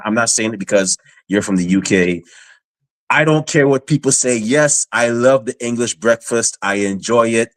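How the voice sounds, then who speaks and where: A man's voice: on the low side, fairly bright tone, dry recording. A man from Guyana hosting a casual podcast interview, hyped in his introductions and rambling with many fillers. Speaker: podcast host.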